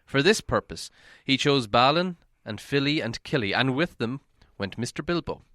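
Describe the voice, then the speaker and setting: A man reading a story aloud as narration, in a measured, dramatic storytelling manner. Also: medium pitch, lively intonation, a bit bright, no echo, studio quality. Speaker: audiobook reader.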